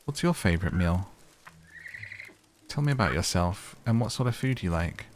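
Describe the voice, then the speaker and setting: Low-pitched playful man. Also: expressive, normal speed, very noisy. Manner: playful, chatty, improvising. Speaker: gaming video host. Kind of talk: casual gameplay commentary.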